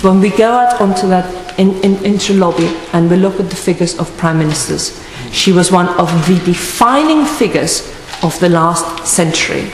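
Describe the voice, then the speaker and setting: A woman giving an earnest, measured parliamentary tribute speech. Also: on the low side, slight background noise. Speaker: member of parliament. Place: large debating chamber. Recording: echoey hall, distant mic.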